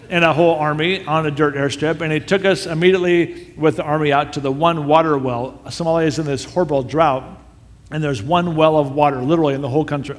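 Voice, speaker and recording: A man, a missionary, telling a story in a sermon with animated, self-deprecating humor. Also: fairly high pitch. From United States. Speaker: missionary speaker. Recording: long hall reverb.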